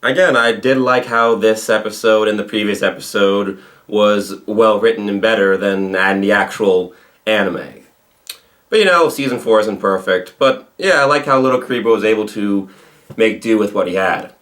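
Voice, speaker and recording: A man's voice: lowish voice, moderate pace, fairly bright tone. A man hosting a reaction video, giving casual, conversational commentary.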